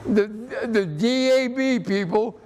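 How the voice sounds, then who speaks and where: An elderly American man in his eighties, an interview guest speaking earnestly and haltingly, often repeating himself. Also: high-pitched, darkish tone. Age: 80s. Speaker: interview guest, army veteran. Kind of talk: personal reminiscence in an interview. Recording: echoey hall with background noise.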